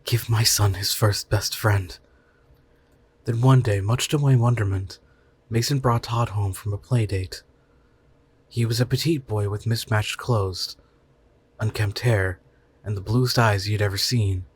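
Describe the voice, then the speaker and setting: A man's voice: on the low side, fairly even intonation, measured pace, breathy edge. A man narrating a scripted short story in the first person.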